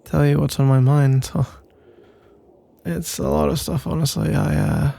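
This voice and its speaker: A man playing a nervous, pensive roommate in an intimate ASMR roleplay, speaking in a soft, husky, halting ramble. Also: on the high side, fairly even intonation. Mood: pensive, weary. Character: nervous, pensive male roommate working up to a confession.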